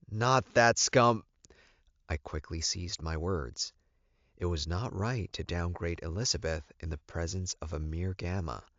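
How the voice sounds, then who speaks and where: A man's voice: sits low, dry recording. A man reading scripted first-person fiction as an audiobook narrator. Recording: muffled, dull, boxy recording.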